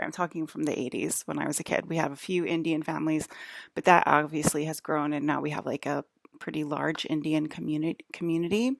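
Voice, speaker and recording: Gravelly, slow-talking woman from New Jersey. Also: low-pitched, no echo, clean studio sound. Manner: chatty, self-interrupting, with whispered asides. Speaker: vlogger. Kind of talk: rambling casual monologue.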